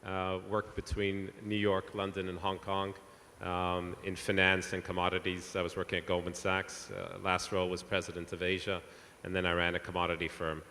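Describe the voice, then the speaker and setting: Deep flat-voiced man from Bahrain. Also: normal speed, slight background noise. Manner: plain, to the point, with fillers. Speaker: panelist, investment executive. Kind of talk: self-introduction on a panel. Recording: hall reverb, faint ambience.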